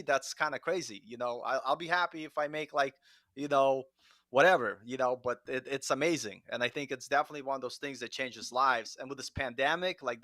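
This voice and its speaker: A man's voice: medium pitch, pushed and bright, no echo. A middle-aged man talking informally and enthusiastically as a guest on a casual livestream, often saying "like" and "you know". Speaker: guest developer and content creator.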